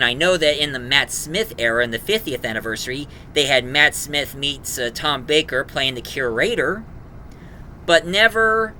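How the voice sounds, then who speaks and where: A man giving a casual, rambling review in a halting, enthusiastic fan manner. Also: medium pitch, pushed and bright, a little hiss or hum, rough recording. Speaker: reviewer, host.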